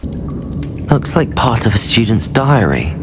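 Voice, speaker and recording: Curious, lively-pitched young student hero. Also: male voice, slow, noisy background. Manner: attentive, polite, inquiring. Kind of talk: dramatic video game voice acting.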